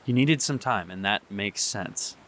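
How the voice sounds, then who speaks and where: A young man performing a radio drama monologue, halting and sighing as he pleads with an absent partner. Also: lowish voice, fairly bright tone, a little hiss or hum. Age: young adult. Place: beside the sea.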